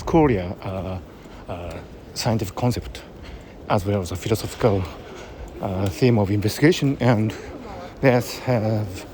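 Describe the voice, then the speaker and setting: A man's voice: lowish voice, darkish tone. Japanese-accented man musing outdoors. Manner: halting, many uh fillers and pauses. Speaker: host. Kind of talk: casual spoken commentary. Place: outdoors in a city. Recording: outdoor street noise, traffic.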